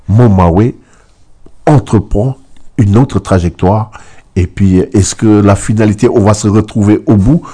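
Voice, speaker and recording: Interviewer: deep, dark-toned man, rambling and hesitant questions. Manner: long winding questions, hesitant.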